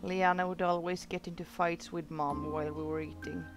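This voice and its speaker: A woman's voice: fairly low pitch, flat delivery, moderate pace, faint music behind, close to an everyday voice. A woman voicing a guarded teenage girl's wry, reflective inner thoughts in a visual novel.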